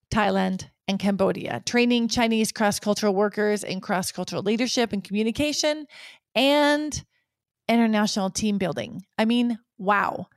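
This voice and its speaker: A woman's voice: mid-range voice, no echo, studio quality. A woman hosting a podcast interview, upbeat and laughing easily as she introduces her guest and asks questions. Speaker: podcast host.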